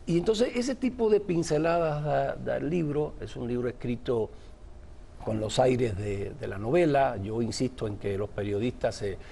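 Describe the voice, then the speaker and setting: Guy from cuba chatting about his writing in an interview, mellow warm tone, faint hiss behind, rambles thoughtfully. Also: medium pitch, normal speed, gravelly. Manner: reflective, discursive storytelling. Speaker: author and journalist guest. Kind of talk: interview answers.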